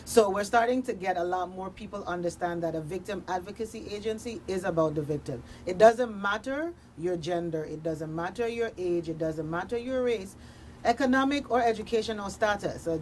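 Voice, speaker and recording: A woman's voice: medium pitch, a little mellow, slight background noise. A woman speaking as a victim advocate in a radio interview, explaining emphatically in parallel, listed phrases.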